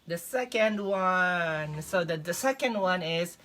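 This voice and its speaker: A woman's voice: fairly low pitch, expressive, slow, a bit bright, soft background music. A young woman from the Philippines with a Filipino accent, giving casual advice as a vlog host. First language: Visayan (Filipino). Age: young adult. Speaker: vlog host, English teacher.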